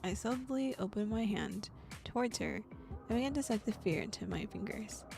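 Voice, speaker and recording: A woman streamer reading a visual novel aloud in first person, breaking off into animated, exclaiming reactions. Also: medium pitch, normal speed, vocal fry, soft background music. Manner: animated reactions, gasps and laughs between read passages. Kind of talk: game playthrough, reading text plus reactions.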